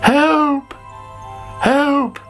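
A storyteller voicing a greedy, excitable young caterpillar in a children's storybook tale. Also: medium pitch, a little slow, soft background music. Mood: panicked. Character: greedy young caterpillar, cheeky then panicky.

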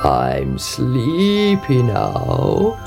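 A woman voicing a demanding male frog with a low croak and slow, drawn-out commands for a storybook. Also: huge pitch swings, over music, full character voice. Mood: sleepy, insistent.

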